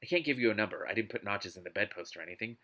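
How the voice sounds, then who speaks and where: A man's voice: lowish voice, lively intonation, racing, fairly bright tone, voice pushed down. A man voicing a suave, teasing, commanding lover from a romance novel, smug and sardonic. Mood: amused.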